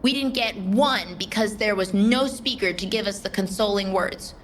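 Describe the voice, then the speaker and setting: A woman playing a defiant, impassioned soldier in an audio drama, speaking with forceful, emphatic indignation. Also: medium pitch, normal speed, slight background noise, lightly acted. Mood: anguished, angry. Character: defiant, impassioned female soldier guardian standing her ground.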